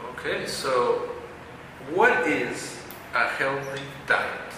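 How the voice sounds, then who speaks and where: A man hosting an online English lesson, speaking in a clear, teacherly way as he introduces and interviews a guest. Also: medium pitch, animated, very slow. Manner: clear, teacherly, explains terms. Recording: echoey room, faint noise.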